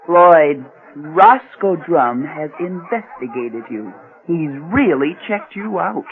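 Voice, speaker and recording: Breezy, high-pitched political associate, male. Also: expressive, slow. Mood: amused, teasing. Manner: teasing, chatty, solicitous. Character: breezy, friendly, worldly political associate named Amy. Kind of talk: radio drama acting. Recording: muffled lo-fi radio recording.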